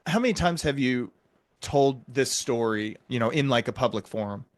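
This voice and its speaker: A man's voice: medium pitch, lively intonation, moderate pace, no echo. A man hosting a podcast interview, talking in a reflective, explanatory and encouraging way.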